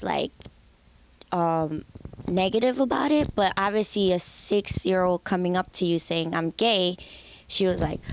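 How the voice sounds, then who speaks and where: A woman's voice: lowish voice, slight background noise. A young woman from the Dominican Republic, a guest musician speaking candidly and reflectively in an interview. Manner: candid, reflective, with frequent restarts. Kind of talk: interview conversation.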